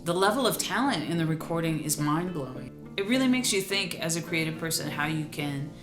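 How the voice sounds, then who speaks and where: A woman's voice: sits low, animated, a little fast, roomy sound. A woman hosting a behind-the-scenes promotional feature, speaking to the audience. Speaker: host and singer. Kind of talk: promotional behind-the-scenes talk and sung performance. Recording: music playing behind the voice. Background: plucked guitar music.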